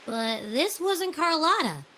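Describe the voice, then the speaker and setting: Bright, breezy, cheeky girl companion. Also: sits high, sing-song, a little hiss or hum, full character voice. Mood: curious, musing. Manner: breezy, casual, drawn-out musing. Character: laid-back, cheeky, food-loving young companion. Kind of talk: scripted game dialogue.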